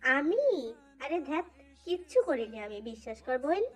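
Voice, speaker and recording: A woman's voice: sits high, very animated, normal speed. A woman voicing a pleading, defensive, mischievous young male college student in a dramatised fan fiction. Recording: faint music under voice. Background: faint background music.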